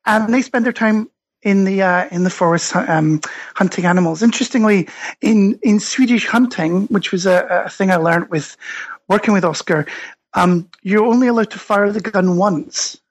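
Scottish guy on a podcast, kinda husky, lots of ums, thinking out loud. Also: high voice, normal speed. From Scotland. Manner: hesitant, many fillers and restarts; thoughtful, explanatory. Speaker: guest author and researcher. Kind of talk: podcast interview.

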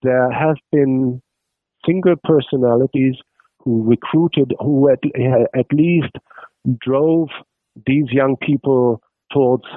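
A man speaks as an expert guest, piecing his explanations together with frequent pauses and restarts. His voice comes through a thin, phone-like line with no room sound. His pitch sits in a middle range for a man. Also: no echo. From Germany. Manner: hesitant, many fillers and restarts, explanatory. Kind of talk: radio interview answers. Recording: tinny dry phone line.

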